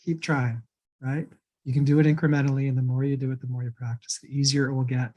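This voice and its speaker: A man teaching an online workshop on personal energy, calm and reassuring as he reviews grounding skills. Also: mid-range voice, quick pace. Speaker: workshop instructor.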